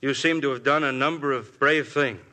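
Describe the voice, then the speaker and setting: An actor playing a shrewd police inspector who questions people with smooth persistence, in a 1950s radio drama. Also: male voice, mid-range voice, brisk, sharp tone, lightly acted. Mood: probing. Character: shrewd, probing middle-aged police inspector.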